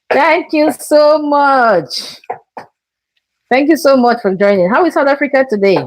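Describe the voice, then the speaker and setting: A woman's voice: sits high, a little slow, low-quality recording. A woman from Cameroon hosting a live cooking stream from her kitchen, talking viewers through each step and greeting them. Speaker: cooking show host.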